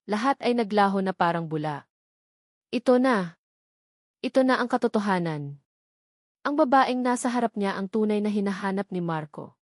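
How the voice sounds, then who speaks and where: A woman's voice: mid-range voice, a little mellow, dry recording, studio quality. A woman with a Filipino accent narrating a scripted romance story in a dramatic style.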